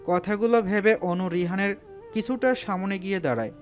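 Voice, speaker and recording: A man's voice: sits high, moderate pace, soft background music. A man reading a scripted love story as a dramatic audio narrator.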